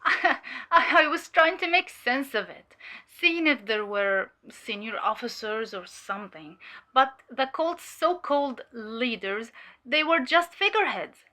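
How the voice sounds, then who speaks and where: A woman playing a weary, sharp undercover cult infiltrator giving hesitant, measured testimony in an audio drama. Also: a little high, expressive. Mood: uneasy, explanatory.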